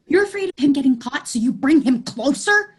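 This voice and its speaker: A woman's voice: high voice, animated, brisk. A woman acting in a script read as an anxious, challenging activist, insistent and questioning. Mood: exasperated. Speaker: actor in a screenplay table read. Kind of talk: acted script reading.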